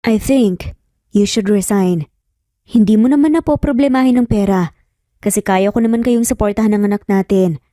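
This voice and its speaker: A woman's voice: mid-range voice, normal speed, dark tone, dry recording, clean studio sound, close to an everyday voice. A woman voicing a concerned, earnest man, a boss and father, in an audio romance. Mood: serious.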